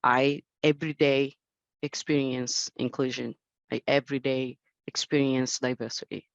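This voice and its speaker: A woman's voice: extremely low pitch, little pitch movement, normal speed, creaky, dry recording, muffled recording. A Brazilian woman, a native Portuguese speaker, talking casually as a panelist in accented English and searching for words.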